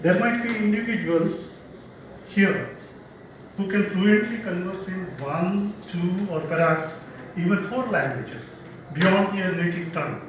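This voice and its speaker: Man, Indian accent, measured formal speech, far from mic, heavy hall echo, faint room hum. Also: high-pitched. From Mangalore, India. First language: Konkani. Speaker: invited speaker. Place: large hall.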